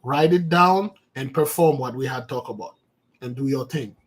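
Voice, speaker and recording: A man with as his giving an informal spiritual talk to his followers, animated, repeating words and calling out to his listeners. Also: medium pitch, moderate pace. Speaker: spiritual teacher.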